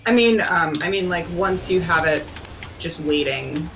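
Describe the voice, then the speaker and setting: A woman's voice: lowish voice, expressive, unhurried, fairly bright tone, a little hiss or hum, poor audio. A woman chatting casually with teammates during an online raid game. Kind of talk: casual gaming chat. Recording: game music behind the voice.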